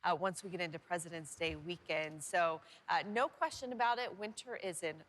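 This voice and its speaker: A woman's voice: lowish voice, fairly quick, fairly bright tone. A woman presenting TV weather in an easygoing, explanatory way, chatting as a co-host. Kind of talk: conversational weather report and co-host banter.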